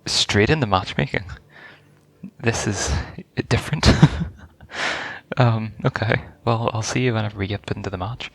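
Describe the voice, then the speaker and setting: A man's voice: on the low side, normal speed, gravelly, a bit bright, no echo. A man giving casual live reaction commentary as a gaming video creator.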